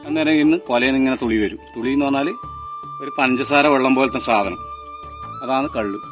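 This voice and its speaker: A man's voice: medium pitch, a little fast. A man with a northern Kerala Kannur accent, a toddy tapper informally explaining his trade hands-on. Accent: northern Kerala (Kannur) Malayalam. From Kannur region of Kerala, India. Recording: music behind the voice. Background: instrumental background music.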